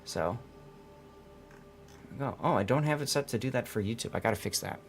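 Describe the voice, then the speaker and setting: A male streamer running a game tournament broadcast, talking loosely to his co-caster and viewers. His voice sits at a middling pitch, and he rambles along with plenty of fillers. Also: a little fast, soft background music. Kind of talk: casual stream chat.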